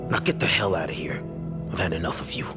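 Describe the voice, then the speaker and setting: An actor playing a contemptuous, domineering police detective who talks in a condescending, accusatory way in a video game scene. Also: male voice, low voice, quick pace, natural voice. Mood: furious. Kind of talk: dramatic video game cutscene acting. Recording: background music behind the voice.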